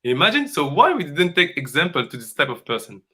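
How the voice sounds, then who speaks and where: Forceful man, earnest coaching talk. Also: a little high, very animated, husky. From Congo. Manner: earnest and emphatic, repeats phrases, halting non-native English. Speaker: dance coach.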